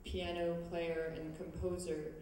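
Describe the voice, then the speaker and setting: A young woman addresses a hall through a handheld mic, hesitant and full of restarts. Her voice is low, dark and flat in tone. The echoing auditorium swallows her, picked up from a distant camera. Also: takes it slowly, a little hiss or hum. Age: young adult. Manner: hesitant, many fillers and restarts. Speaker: student organization speaker. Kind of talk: prepared public remarks. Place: large auditorium stage. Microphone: handheld mic, far camera. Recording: echoey hall recorded from afar.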